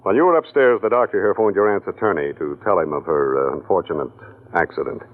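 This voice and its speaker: A man playing a patient, probing police lieutenant with a very deep voice. He takes his time and pauses to think between phrases. The sound is thin and tinny, like an old radio broadcast. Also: lightly acted. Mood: accusing. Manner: measured, with thoughtful "uh" pauses. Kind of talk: 1940s radio acting.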